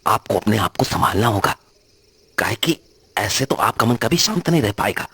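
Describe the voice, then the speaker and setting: Male actor as concerned rustic servant in a horror audio drama, respectful and folksy, pitched above a deep natural register. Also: lively intonation, moderate pace, fairly bright tone, husky, no room sound, heavily acted. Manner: respectful, rustic colloquial Hindi phrasing.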